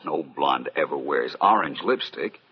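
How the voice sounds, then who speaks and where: An actor playing a suave, self-assured gentleman detective in an old-time radio mystery, urbane, precise and knowing. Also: extremely low pitch, lively intonation, a little slow, slight background noise. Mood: wry, relaxed. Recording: dull, lo-fi vintage radio recording.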